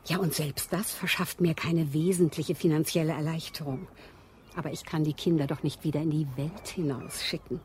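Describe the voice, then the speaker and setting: A woman voicing a kindly, scatterbrained guardian of wayward girls in a radio play. Her voice sits a little low, and she talks earnestly, trailing into gentle confusion. Faint noise hums behind her. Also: fairly even intonation, normal speed, natural voice. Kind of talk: radio drama acting.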